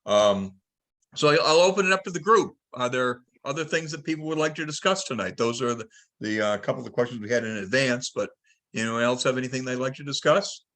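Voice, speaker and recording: Male call host, very bright, forward voice, casual and halting, chairs a group discussion. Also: medium pitch. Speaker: host of a club tech conference call.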